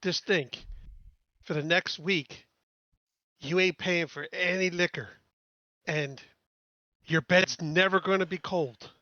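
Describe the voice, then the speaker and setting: A man's voice: sits high, fairly even intonation, takes it slowly, long pauses, bright, forceful tone, dry recording, lo-fi sound. A man chatting casually as a player in a tabletop game. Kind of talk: casual banter.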